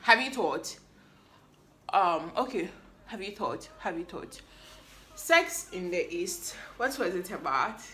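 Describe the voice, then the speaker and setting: A woman's voice: on the low side, expressive, slow pace, long pauses, bright, forceful tone. A woman, hosting a solo podcast recap in a playful, chatty way that teases the listener. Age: 20s. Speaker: podcast host. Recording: echoey room.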